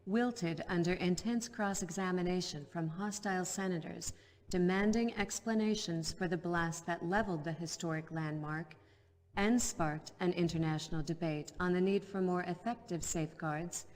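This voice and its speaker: An actress playing a serious television news anchor in a video game cutscene, reading in a measured, formal way. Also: female voice, fairly low pitch, darkish tone, big-room sound, natural voice. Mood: sober, formal.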